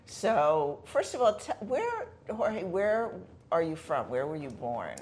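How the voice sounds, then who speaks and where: A woman's voice: fairly low pitch, huge pitch swings, takes it slowly, darkish tone. A middle-aged woman hosting an interview show, chatting eagerly with her guest in his living room and jumping in often. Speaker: show host. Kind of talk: friendly interview chat.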